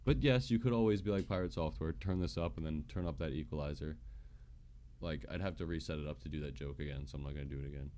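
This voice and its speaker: A man with a naturally deep voice, rambling and often interrupting himself as he casually demonstrates gear on a livestream. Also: fast, mellow.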